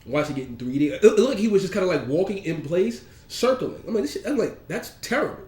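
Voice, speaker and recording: A man's voice: high voice, sing-song, fast, darkish tone. Man with a cold, echoey room. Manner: rambling, full of ums and restarts. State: has a cold, a bit nasal. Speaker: podcast co-host. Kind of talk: casual chat.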